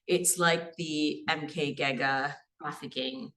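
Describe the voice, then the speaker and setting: A woman in her forties, a criminal barrister born in Sri Lanka, talks earnestly at a seminar, full of fillers and restarts. Her voice sits a little low. She is caught by a mic set some way off in the room. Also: fairly even intonation, takes it slowly, fairly bright tone, far from the mic. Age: 40s. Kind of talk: seminar talk.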